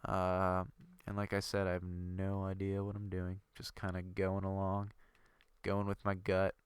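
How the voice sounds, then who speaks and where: A man's voice: low voice, completely flat delivery, measured pace, clear, resonant tone, no room sound. A young man with a stuffy nose from a cold, rambling and thinking aloud with lots of fillers while commenting on his game. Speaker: gaming video creator. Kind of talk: casual gameplay commentary.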